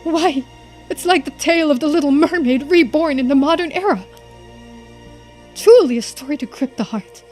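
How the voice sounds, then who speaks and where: A woman voicing a florid, well-spoken romantic gentleman in a video game, with formal, dramatic delivery. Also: high voice, normal speed, faint music behind, natural voice. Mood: enraptured. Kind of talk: video game dialogue read aloud in character.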